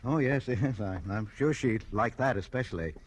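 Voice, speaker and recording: An actor playing a nervous, evasive clerk with a hesitant, stammering manner in 1940s radio style. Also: male voice, medium pitch, normal speed, slight background noise, natural voice. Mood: flustered, evasive. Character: nervous, meek, evasive male clerk inventing a wife. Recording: old radio recording with music.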